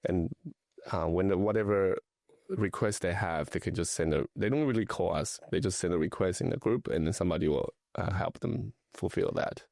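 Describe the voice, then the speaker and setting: A middle-aged man from Taiwan talks casually as a guest, his low voice edged with gravel. He pauses often and restarts his thoughts. The recording is dry and close, with no room sound. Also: a little mellow, dry recording. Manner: hesitant, many fillers and restarts. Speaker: luxury travel and lifestyle advisor, guest. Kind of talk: casual conversational interview.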